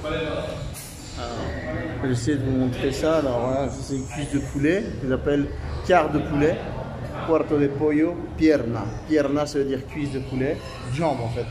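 Casual male vlogger, 30s, slightly high voice, loose and rambling, phone mic, restaurant crowd behind. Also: steady tone, unhurried. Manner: chatty, rambling, many fillers. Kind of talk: casual vlog to camera. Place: small restaurant, indoors. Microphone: phone at arm's length. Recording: phone video with crowd chatter.

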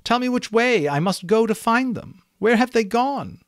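A gentle, innocent unicorn with a high, pleading voice, as a man puts her on. She sounds earnest and soft. The sound is dry and close. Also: lively intonation, moderate pace, dry recording. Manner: high, pleading, breathy falsetto.

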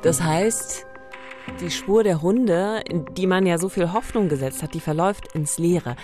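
A woman with a very low voice hosts a true-crime podcast. She narrates from a script and poses questions, over quiet background music. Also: measured pace. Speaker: podcast host.

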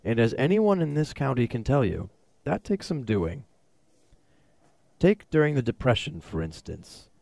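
An actor playing a cunning, domineering rich old businessman in a radio drama, gravelly and condescending. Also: mid-range voice, sing-song, a little mellow, no echo. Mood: calculating, smug. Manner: deliberate, smooth-talking, condescending.

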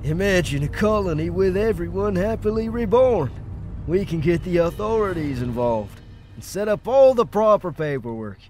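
A man's voice: sits high, animated, moderate pace, darkish tone. A man voicing a smug, manic infected doctor in a comic dub, with a theatrical, coaxing delivery. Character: fungus-infected male doctor, smug, manic cult-like persuader.

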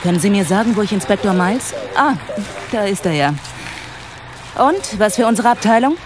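An actress playing a confident, teasing police investigator in a German crime audio drama, casual yet professional. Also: female voice, mid-range voice, lively intonation, moderate pace, a crowd in the background, natural voice. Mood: brisk, professional. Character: self-assured, playful female police investigator, quick and teasing.

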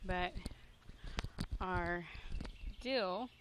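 Woman vlogging outdoors, clearly noisy recording. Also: lowish voice, extremely slow. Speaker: gardening vlogger. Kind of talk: casual garden tour narration. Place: outdoors in a backyard vegetable garden. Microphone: handheld phone at arm's length.

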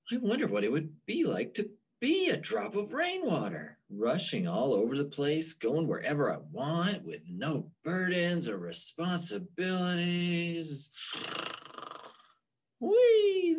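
Lady doing a whiny teen raindrop boy from a comic, super swoopy, bit raspy, dramatic. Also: fairly low pitch, exaggerated voice. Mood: dreamy, then gleeful. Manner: theatrical, exclaiming, hesitant. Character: excitable teenage boy turned raindrop, whiny and overwhelmed. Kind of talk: comic strip read-aloud.

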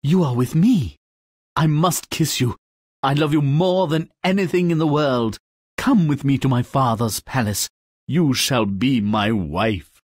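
Lovestruck game prince: declamatory, earnest, slightly husky. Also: male voice, mid-range voice, measured pace, no room sound, clean studio sound. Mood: ardent. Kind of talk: exaggerated fairy-tale game acting.

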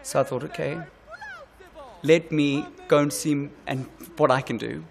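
Gravelly drama teacher, heartfelt. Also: male voice, on the high side, fairly even intonation, moderate pace, faint music behind. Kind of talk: interview storytelling. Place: empty school stage, indoors.

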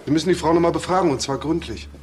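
Brisk, fast-talking actor's male police detective. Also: a little high, reverberant, very noisy, natural voice. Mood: probing. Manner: brisk, clipped questioning. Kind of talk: naturalistic crime radio drama dialogue.

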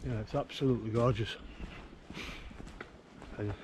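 A man, chatting casually to camera as an outdoors vlogger, unhurried and halting, often repeating words. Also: medium pitch, fairly even intonation. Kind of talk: casual rambling chat to camera. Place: outdoors in woodland. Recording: outdoor woodland ambience, clear background noise. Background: natural outdoor ambient noise.

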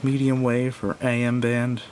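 A man's voice: completely flat delivery, measured pace, a little mellow. Hesitant man reviewing a gadget, noisy recording. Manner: hesitant, rambling, self-correcting. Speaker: product reviewer. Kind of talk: casual unscripted hands-on gadget review. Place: indoors at a wooden table. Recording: noisy home recording with low rumble.

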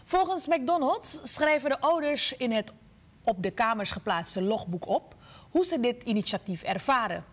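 A woman from Suriname reading the news in a measured, formal way from a studio. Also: a little high, lively intonation. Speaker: news anchor. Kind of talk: scripted news narration.

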